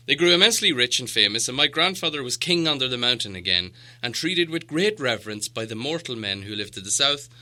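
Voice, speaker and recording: Guy doing a proud old dwarf chief for an audiobook, solemn n grand, really sharp forceful voice. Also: mid-range voice. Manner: grave, grandiose storytelling. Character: proud, solemn old dwarf leader recounting lost glory. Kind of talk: audiobook character dialogue.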